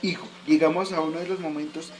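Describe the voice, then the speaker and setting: A man's voice: a little high, steady tone, quick pace, a little mellow, soft background music, lightly acted. A man playing a patient father who explains history to his son in a recited, didactic way, in an educational radio drama. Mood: explanatory.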